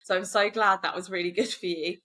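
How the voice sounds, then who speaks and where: A woman's voice: medium pitch, fairly even intonation, fairly quick, pushed and bright. A woman hosting a podcast, upbeat and encouraging toward her guest. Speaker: podcast host, secondary teacher.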